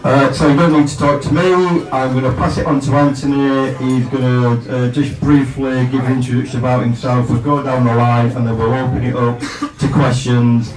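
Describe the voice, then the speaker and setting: A man's voice: medium pitch, level tone, moderate pace. A man hosting a fan evening, thanking the supporters and introducing the guests. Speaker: event host. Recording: echoey room, far from the mic, crowd behind.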